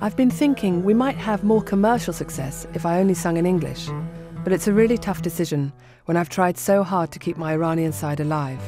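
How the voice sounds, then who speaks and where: A woman in her forties who grew up in Iran, presenting a documentary in calm, reflective first-person narration. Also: fairly low pitch, fairly quick. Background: music under the narration.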